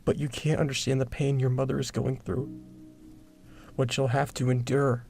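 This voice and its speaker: A man's voice: medium pitch, little pitch movement, creaky, darkish tone, soft background music, lightly acted. A man playing a desperate, anguished brother in an audiobook, pleading and crying out. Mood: grieving. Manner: pleading, crying out, drawn-out words.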